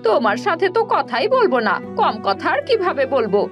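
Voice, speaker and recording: High-voiced nagging village wife. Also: female voice, big pitch swings, fairly quick, music in the background. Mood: teasing approval. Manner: scolding, teasing. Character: nagging, sharp-tongued young village wife. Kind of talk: Bengali cartoon fairy-tale acting.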